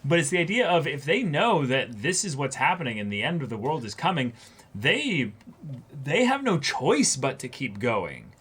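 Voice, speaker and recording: Male co-host, animated mid-range voice, casual unscripted anime commentary. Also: mid-range voice, normal speed, slightly rough. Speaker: co-host of an anime reaction discussion.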